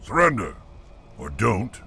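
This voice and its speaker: An actor voicing a menacing, arrogant alien mercenary in a video game, gravelly and taunting. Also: male voice, lowish voice, huge pitch swings, takes it slowly, sharp tone, a little hiss or hum, full character voice. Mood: taunting menace. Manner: taunting, commanding.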